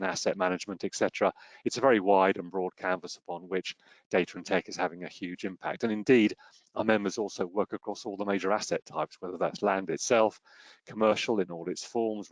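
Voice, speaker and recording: A man's voice: sits low, no room sound. A man hosting an interview series, giving polished, formal introductions and questions. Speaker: host.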